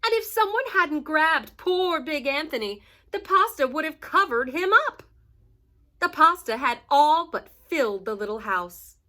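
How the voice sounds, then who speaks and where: A woman with a high, very lively voice reads a picture book to young children. She is expressive and takes her time, stressing the drama like a teacher and adding asides for her listeners. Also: a little slow. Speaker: teacher reading aloud to first graders. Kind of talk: animated children's storybook read-aloud with asides to the listeners.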